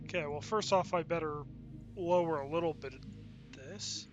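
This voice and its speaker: A man's voice: a little high, moderate pace, muffled recording, music in the background. A man giving casual, unscripted game commentary as a streamer, rambling and thinking aloud as he goes.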